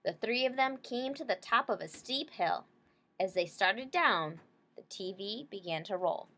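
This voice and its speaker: A woman's voice: mid-range voice, expressive, unhurried, sharp tone, a little hiss or hum. Woman reading a storybook to children. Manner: checks in with listeners using short tag questions. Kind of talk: read-aloud with casual explanations to children.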